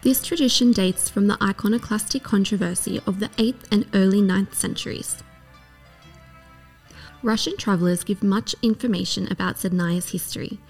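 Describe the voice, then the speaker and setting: A woman reading a scripted documentary narration in a measured, even way. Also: medium pitch.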